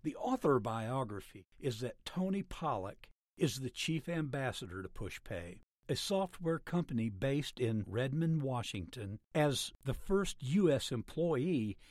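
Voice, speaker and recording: A man narrating a scripted article aloud in a measured, instructional manner. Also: medium pitch, darkish tone, dry recording, clean studio sound.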